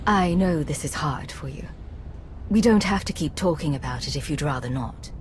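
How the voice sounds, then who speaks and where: A woman playing a composed, diplomatic agent and commander in a video game, speaking calmly and with tactful control. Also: low-pitched, normal speed, a little hiss or hum. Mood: gentle, tactful. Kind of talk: dramatic video game dialogue.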